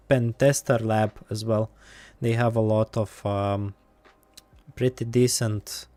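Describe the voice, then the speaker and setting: A man with an Eastern European accent, live-streaming while coding and thinking aloud, with many pauses and fillers. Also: mid-range voice, darkish tone, dry recording.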